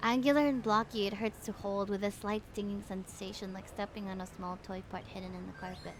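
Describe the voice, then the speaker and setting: A woman's voice: mid-range voice, brisk, noisy background. A woman livestreaming a video game, reading dialogue aloud and reacting casually and expressively. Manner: expressive, reacts with exclamations and laughter.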